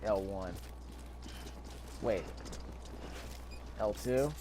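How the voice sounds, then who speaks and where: A man with a fairly low voice talks casually through a video game as he plays. He thinks out loud, grumbles a little and keeps asking himself questions. Faint game ambience sits behind his voice. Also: very slow, background noise. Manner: thinking aloud, mildly grumbling, rhetorical questions. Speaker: video game player narrating a playthrough. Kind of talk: casual gameplay commentary.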